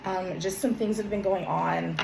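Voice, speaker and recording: Woman a bit hoarse from a cold, soft and halting, lots of ums, echoey room w faint hum. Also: medium pitch, steady tone. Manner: soft, hesitant, many ums and self-corrections. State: slightly hoarse from a summer cold. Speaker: vlogger. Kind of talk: casual heartfelt talk and reading her own journal.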